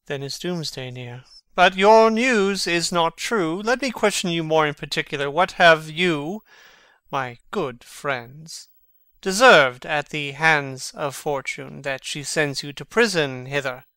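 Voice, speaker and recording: A man's voice: fairly high pitch, takes it slowly, sharp tone, clear, resonant tone, no echo, full character voice. A man playing a mocking, brooding prince who feigns madness, theatrical and sardonic in a Shakespearean dramatic reading.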